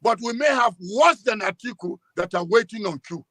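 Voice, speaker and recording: A Nigerian-accented man speaking as a guest commentator in a live political discussion, emphatic, halting and repeating himself as he argues. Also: high-pitched, lively intonation, fairly bright tone, no echo, studio quality.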